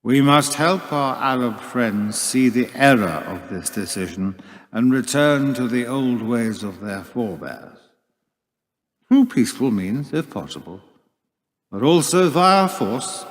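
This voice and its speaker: An actor playing a suave, menacing leader of a secret cabal, speaking in pompous, measured radio-play oratory. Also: male voice, mid-range voice, very animated. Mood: grandiose, calculating. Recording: long cavernous hall reverb.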